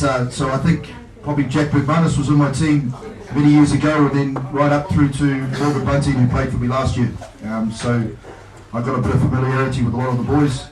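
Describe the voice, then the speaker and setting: A man with a New Zealand accent, a rugby coach introducing himself at a fan evening with self-deprecating jokes and many fillers. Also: medium pitch. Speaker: head coach. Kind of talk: casual speech at a fan evening. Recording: echoey room, far from the mic, crowd behind.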